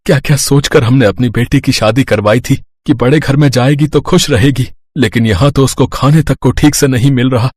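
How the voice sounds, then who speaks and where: A man's voice: mid-range voice, a little mellow, no echo, close to an everyday voice. A man playing earnest family men in a cartoon story, in acted dialogue. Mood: indignant. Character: earnest husband, also indignant father of bride.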